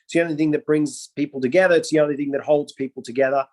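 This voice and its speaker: A man's voice: on the high side, steady tone, racing, darkish tone. A young man, originally from Iraq, telling his life story as an interview guest in an earnest way with the odd joke. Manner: earnest and storytelling, with playful jokes. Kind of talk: casual interview talk.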